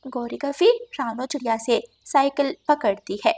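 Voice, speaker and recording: A woman's voice: a little high, unhurried, a little mellow. A woman narrator reading a scripted children's story.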